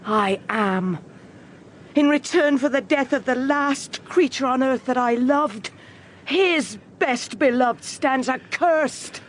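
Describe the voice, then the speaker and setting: Anguished old witch, very high voice. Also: a little hiss or hum. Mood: grim, menacing. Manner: slow, intense, tormented delivery. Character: anguished old Irish witch, fierce, grieving grandmother. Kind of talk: period audio drama acting.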